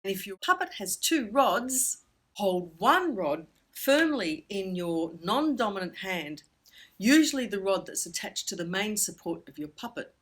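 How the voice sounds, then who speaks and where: A woman giving an instructional presentation for children in a playful, expressive manner with emphatic pauses. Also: medium pitch, husky. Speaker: puppetry workshop instructor.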